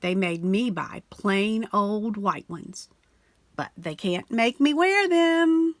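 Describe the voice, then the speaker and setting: A woman reading a children's picture book aloud in an animated storytelling way, slowing down and sinking low on the repeated refrain. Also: no room sound. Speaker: storybook reader.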